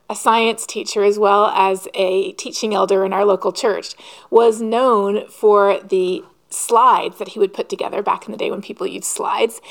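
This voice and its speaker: Earnest woman preaching a measured sermon. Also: a little high. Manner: earnest, measured, rhetorical questions.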